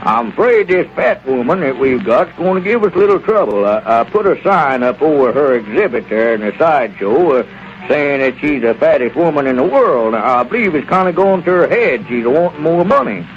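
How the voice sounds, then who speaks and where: An actor playing a folksy circus manager who talks with hesitant, stammering restarts in a 1930s radio comedy. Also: male voice, fairly high pitch, animated, moderate pace, a little hiss or hum. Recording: lo-fi old radio broadcast.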